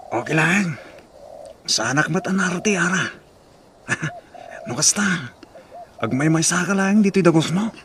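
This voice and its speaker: Male actor as affectionate young boyfriend, gravelly yet fairly high voice, radio drama, faint noise behind. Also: expressive, measured pace, pauses often, a bit bright. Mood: soothing. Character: young man, a sweet-talking, reassuring boyfriend. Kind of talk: Ilocano radio drama acting.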